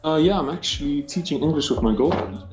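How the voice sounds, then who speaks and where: A young man from South Africa with a South African accent, calling in to a radio show and chatting in a relaxed, talkative way. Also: a little high, quick pace, roomy sound, soft background music. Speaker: caller. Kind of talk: casual call-in chat. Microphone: voice chat call.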